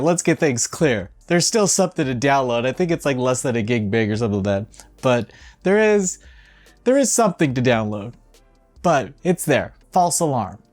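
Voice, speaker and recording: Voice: mid-range voice, a little mellow. A video game news host presenting in a casual, conversational style, with sarcastic and exasperated asides.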